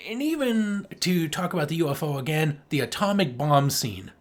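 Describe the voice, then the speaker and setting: Animated, enthusiastic podcast co-host. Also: medium pitch, normal speed. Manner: enthusiastic, emphatic, frequently swearing. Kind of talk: casual film review chat.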